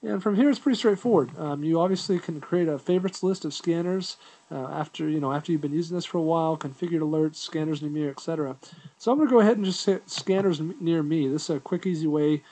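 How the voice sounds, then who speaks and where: A man's voice: a little high, quick pace, warm tone, poor audio. A man giving a casual, unscripted app walkthrough, explaining things in an informal, easygoing way as a reviewer.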